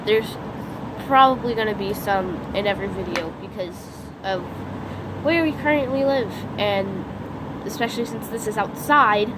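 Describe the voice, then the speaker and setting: A woman's voice: medium pitch, normal speed. A teenage girl with a stuffy nose and sore throat, rambling unscripted in a casual vlog, filled with "like", filmed outdoors on a phone. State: congested, sniffly, sore throat. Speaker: vlogger. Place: outdoors next to a lake, during a lightning storm. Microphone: phone camera. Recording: outdoor phone recording with background noise. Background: outdoor background noise, thunder.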